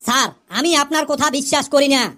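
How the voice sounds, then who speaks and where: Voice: sits high, moderate pace, pushed and bright. A woman voicing a cheeky, argumentative schoolboy pupil in a comedy cartoon, long-winded with smart-aleck answers.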